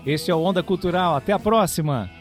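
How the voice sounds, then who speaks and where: A man's voice: high voice, a little fast, music in the background. A man with a Brazilian accent hosting a radio programme in a conversational style. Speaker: radio show host.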